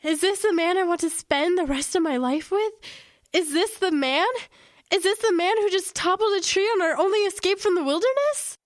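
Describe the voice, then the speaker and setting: An actress playing a frightened, doubting, defiant fiancée in an audio drama, with emphatic phrasing. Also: female voice, very high-pitched, moderate pace, dry recording, clean studio sound. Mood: anguished monologue. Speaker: actor. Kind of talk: audio drama acting with inner-monologue narration.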